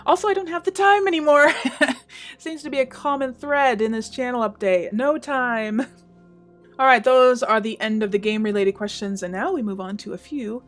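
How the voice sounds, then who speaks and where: A woman's voice: fairly high pitch, normal speed. Woman, 30s, Pacific Northwest American accent with Southern hints, chatty vlogger with frequent laughs, faint music bed. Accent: Pacific Northwest American with slight Southern and Canadian hints. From Washington State, USA. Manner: chatty, laughs often, self-deprecating asides. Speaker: gaming YouTuber. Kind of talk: casual Q&A monologue.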